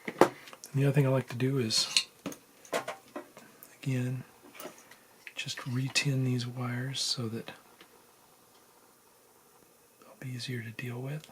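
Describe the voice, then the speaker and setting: A man's voice: mid-range voice, level tone, unhurried, long pauses, a bit bright. Man narrating hands-on work, noisy background. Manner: informal, thinking aloud while working. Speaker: tutorial host. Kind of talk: casual hands-on soldering tutorial narration. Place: indoors at a workbench. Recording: noticeable room background noise.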